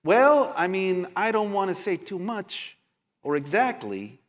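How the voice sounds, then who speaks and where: A man's voice: sits high, animated. A man playing an evasive, hedging go-between who runs errands for a vampire prince in a live roleplaying game. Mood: cagey. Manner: hedging, sly, halting with many trailing qualifiers. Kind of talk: improvised tabletop roleplay before a live audience.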